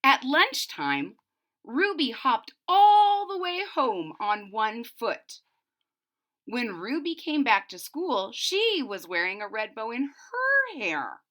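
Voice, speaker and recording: Expressive woman reading a children's story, bright voice. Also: fairly high pitch, slow pace. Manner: expressive, explaining to young listeners. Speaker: storybook reader. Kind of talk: read-aloud of a children's storybook with explanatory asides.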